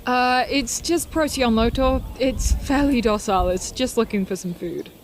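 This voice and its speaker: A woman's voice: on the high side, normal speed, slight background noise, close to an everyday voice. A woman playing a bookish, snarky teenage science nerd in an audio drama, with a pedantic manner. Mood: matter-of-fact.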